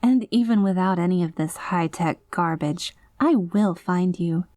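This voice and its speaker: A woman's voice: fairly low pitch, measured pace, dark tone, no echo, clean studio sound, close to an everyday voice. A woman playing an obsessive, possessive girlfriend in a scripted audio roleplay, theatrical and sugary with an edge of threat. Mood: tense, threatening. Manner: saccharine pet names laced with threats, theatrical. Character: obsessive possessive yandere girlfriend, sweetly menacing, long reformed, unraveling. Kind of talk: scripted ASMR roleplay audio drama, voicemail monologue.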